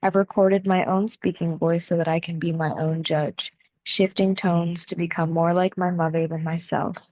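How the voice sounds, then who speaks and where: A young American woman reading her own reflective video essay in a measured, soft-spoken way, with deliberate pauses. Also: on the low side, little pitch movement, no room sound. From United States. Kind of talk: scripted reflective narration.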